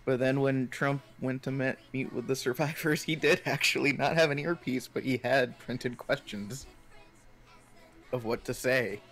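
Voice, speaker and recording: A man's voice: mid-range voice, a little slow, a bit bright. An American man co-hosting a casual chat stream, talking in a conversational, hedging way. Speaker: stream co-host.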